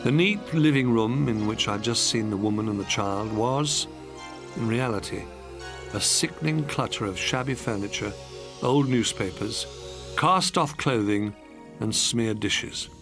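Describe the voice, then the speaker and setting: A man's voice: mid-range voice, a little slow, over music. A man reading an audiobook as a dramatic, scripted narration.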